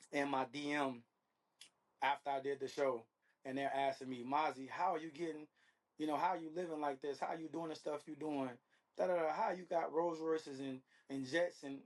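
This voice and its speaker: A man speaking casually as an interview guest, using slang and repeated check-in phrases. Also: a little high. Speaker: interview guest, reality dating show contestant. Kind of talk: casual conversational interview answers.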